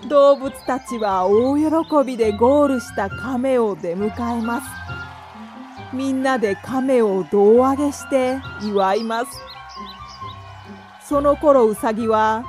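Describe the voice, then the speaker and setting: A woman's voice: fairly high pitch, slow pace, darkish tone. A woman narrator reading a scripted children's fairy tale with careful storytelling phrasing. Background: music.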